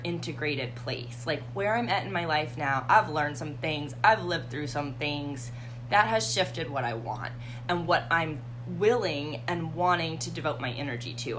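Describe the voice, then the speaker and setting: A slightly hoarse woman hosting a live tarot reading and chatting casually with her viewers. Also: medium pitch, slight background noise. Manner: chatty, warm, greets viewers by name.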